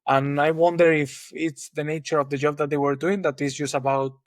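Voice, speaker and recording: A man with a Spanish accent, a guest on an interview podcast, thinking aloud in a relaxed way with many restarts. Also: a little high, brisk, no room sound, clean studio sound. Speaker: guest engineering manager. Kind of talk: casual interview chat.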